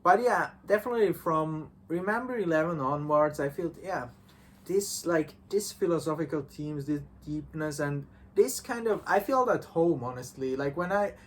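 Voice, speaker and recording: A man talking casually and enthusiastically as a visual novel reviewer, halting and repeating himself with false starts. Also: a little high, expressive. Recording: clearly noisy background.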